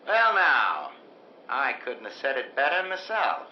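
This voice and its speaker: An actor playing a menacing, smooth-talking carnival barker in a 1950s-style radio drama. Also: male voice, medium pitch, echoey room, a little hiss or hum. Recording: dull vintage radio recording with music.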